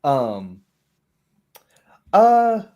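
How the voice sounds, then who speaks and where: A man's voice: on the high side, wildly swooping pitch, drawn-out words, a little mellow, dull audio. Casual man, self-deprecating and hesitant. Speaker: tabletop roleplaying player on a live stream. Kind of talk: casual chat.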